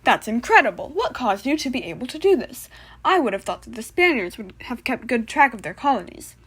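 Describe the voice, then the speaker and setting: A woman plays a chatty history talk-show host in a puppet comedy. The voice is high-pitched and very animated, and the manner is polite with a teasing edge. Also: fairly quick, husky, full character voice. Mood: admiring.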